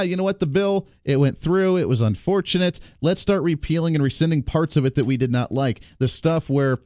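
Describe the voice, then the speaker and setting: A man's voice: medium pitch, darkish tone, no room sound. A man hosting a political call-in radio show, talking in an emphatic, persuasive way that rallies his listeners. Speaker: radio talk show host. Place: radio studio. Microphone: close studio microphone.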